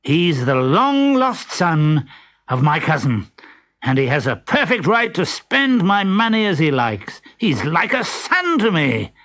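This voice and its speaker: A man's voice: a little high, huge pitch swings, unhurried, fairly bright tone, pitched above the performer's own voice, heavily acted. A man voicing a miserly old father from a children's storybook, blustering, bossy and spluttering with indignation. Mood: flustered.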